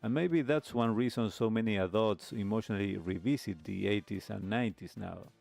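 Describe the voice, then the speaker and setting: A man hosting a talk show, delivering a reflective monologue in measured, deliberate phrases with pauses. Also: lowish voice, vocal fry, darkish tone, faint music behind. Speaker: radio show host.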